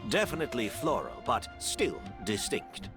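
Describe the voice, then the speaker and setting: Older mentor gentleman, genial n modest, lively pitch, mid-range, game music behind. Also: normal speed. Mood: explaining. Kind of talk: anime game voice acting.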